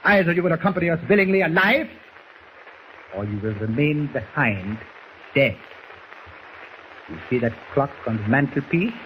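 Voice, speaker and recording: An actor playing a menacing foreign agent with a formal, deliberate, threatening manner in 1940s radio style. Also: male voice, on the high side, animated, a bit bright, a faint crowd. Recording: muffled, noisy 1940s radio recording.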